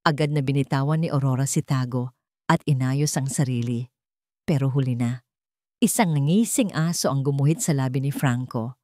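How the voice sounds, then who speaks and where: A woman narrating a scripted romance story in a dramatic, measured way. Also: a bass voice, dark tone, no room sound, studio quality.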